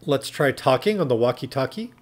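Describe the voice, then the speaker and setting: A man's voice: medium pitch, lively intonation, a little fast. A man narrating a tech tutorial in a plain, instructional, step-by-step way. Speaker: tutorial presenter.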